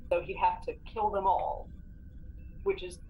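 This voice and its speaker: A woman's voice: on the low side, a little slow, dark tone, poor audio. Woman game player, noise behind her. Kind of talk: casual collaborative game chat.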